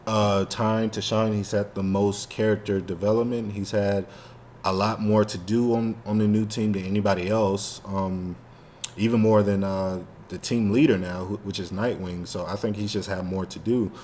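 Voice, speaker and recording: A man's voice: fairly low pitch, little pitch movement, moderate pace, a little mellow, a little hiss or hum. A man co-hosting a casual fan podcast, talking in a rambling, slangy way with lots of "like". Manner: rambling, heavy use of "like" and slang. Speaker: podcast co-host.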